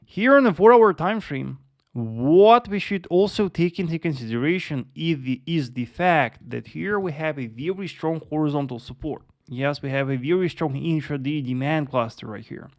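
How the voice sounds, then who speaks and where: Guy doing a live forex stream, gravelly low voice, lots of yes and uh, keeps saying traders. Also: lively intonation, normal speed. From Russia. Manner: repeats words, addresses viewers as traders, many yes and uh fillers. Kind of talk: live market analysis with chat Q&A.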